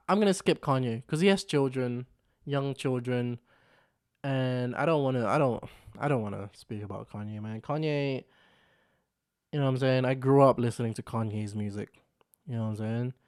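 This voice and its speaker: A man's voice: mid-range voice, moderate pace, long pauses, smooth. A young man from the Philippines, raised in London from his teens, hosting a casual solo vlog, rambling and repeating himself. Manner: rambling, repeats himself, frequent "you know what I'm saying". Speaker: vlog host. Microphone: camera microphone.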